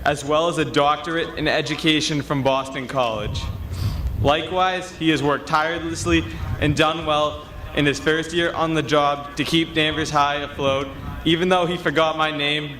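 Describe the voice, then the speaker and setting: A man's voice: on the high side, pushed and bright. A young man, a student class officer, giving a formal introduction at a graduation ceremony. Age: young adult. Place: large graduation ceremony venue, amplified speech. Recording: echoey public address with faint noise.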